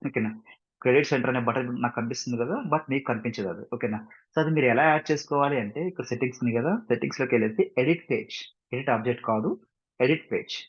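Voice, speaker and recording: A man with an Indian accent giving an informal step-by-step software tutorial as instructor, mixing in English phrases and often checking understanding with short questions. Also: mid-range voice, rapid-fire, breathy edge. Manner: mixes English phrases into his explanations. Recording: dull, muffled lo-fi microphone.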